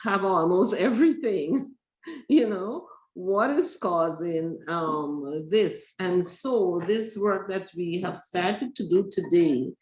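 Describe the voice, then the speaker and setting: A woman researcher speaks slowly and deliberately, weighing each phrase as she presents. Her voice carries through an echoey room, and the audio is dull and lo-fi. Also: lowish voice, darkish tone. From Guyana. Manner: measured, deliberate. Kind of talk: academic webinar presentation.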